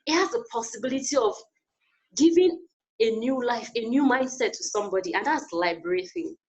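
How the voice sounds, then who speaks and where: A woman speaks over a video call with a lively, rising and falling voice. She talks in an earnest, encouraging way and repeats her key points for emphasis. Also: on the high side, a little fast, low-quality recording. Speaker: guest speaker. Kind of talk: inspirational webinar talk.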